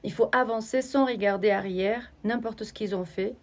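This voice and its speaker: A woman giving a spiritual oracle card reading, talking straight to the viewer in a searching, halting way. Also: fairly high pitch.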